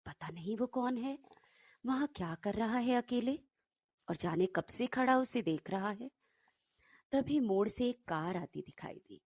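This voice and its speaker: A woman narrating a short story in an unhurried, expressive, dramatic storytelling manner. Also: on the high side, husky, dry recording.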